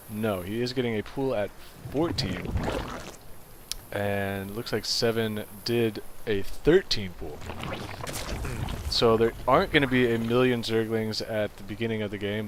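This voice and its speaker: A young man with a nasal voice casts a strategy game for his channel. He talks in a loose, chatty way at a middle pitch and gets louder and quicker as the battles heat up. Music plays under his voice. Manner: relaxed and rambling, gets louder and higher as the action heats up. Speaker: game caster. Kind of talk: casual game commentary. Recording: music playing behind the voice.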